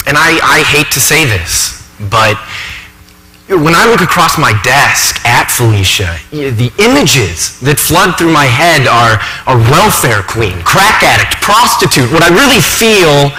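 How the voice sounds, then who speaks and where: A man performing a dramatic stage monologue as an earnest, anxious thirty-year-old college professor, confiding in halting, emphatic asides. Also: mid-range voice, pushed and bright, pitched above the performer's own voice. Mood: troubled, ashamed. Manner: conversational asides, halting, emphatic, self-questioning. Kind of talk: dramatic monologue for speech competition. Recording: echoey hall, faint background noise.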